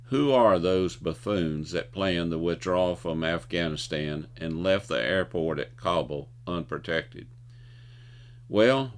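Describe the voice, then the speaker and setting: An older man with a low-pitched voice presents scripted commentary for a video show. His delivery is folksy and opinionated, and he sometimes trips over words as he reads. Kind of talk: scripted commentary with jokes and anecdotes.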